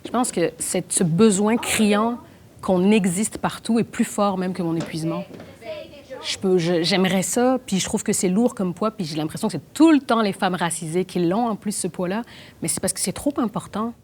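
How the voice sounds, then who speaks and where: A young adult woman from Belgium, talking fast with many restarts in a documentary interview. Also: mid-range voice, darkish tone, slight background noise. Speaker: actress and playwright.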